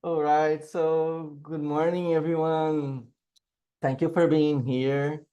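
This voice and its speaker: A man's voice: a little high, level tone, slow pace, dark tone, lo-fi sound. A man from Brazil with a Brazilian Portuguese accent, presenting to teachers over a video call and often checking in with the audience. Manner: explanatory, often checks in with "right?". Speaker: teacher and panel speaker. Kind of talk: webinar presentation.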